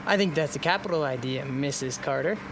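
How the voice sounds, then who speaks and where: An actor playing a confident, wryly joking young newlywed husband in a radio drama. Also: male voice, a little high, natural voice. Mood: playful. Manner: wry, joking, unhurried. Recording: steady car road noise behind.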